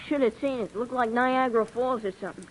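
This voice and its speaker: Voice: mid-range voice, fast talker, slight background noise, close to an everyday voice, rough recording. An actor playing a mouthy street kid. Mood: sleepy. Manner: cocky street slang, sassy comebacks. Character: defiant streetwise boy, young teen, mouthy. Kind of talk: 1950s radio drama acting.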